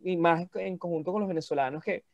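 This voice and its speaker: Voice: medium pitch, quick pace, vocal fry, no room sound, highs cut off. A podcast participant of unclear sex, chatting casually. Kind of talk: casual chat.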